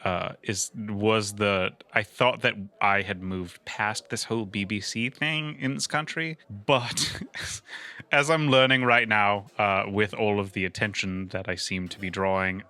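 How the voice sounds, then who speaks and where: A man chatting casually as a player in a tabletop roleplaying game, halting and sweary. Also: lowish voice, steady tone, pushed and bright. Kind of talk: casual in-character improvised game chat.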